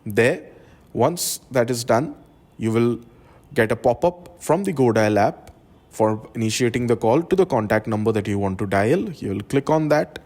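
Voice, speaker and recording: A man's voice: medium pitch, expressive, normal speed, darkish tone. Man narrating a step-by-step tutorial. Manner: step-by-step explaining. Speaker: tutorial presenter. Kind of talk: instructional software tutorial narration.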